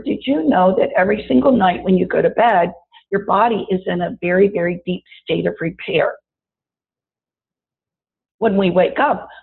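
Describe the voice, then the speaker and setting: Raspy-voiced woman leading a body talk. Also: fairly low pitch. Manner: warm, chatty, addresses listeners directly. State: raspy voice after illness. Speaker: wellness teacher. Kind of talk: guided body-awareness talk. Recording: tinny phone-like audio.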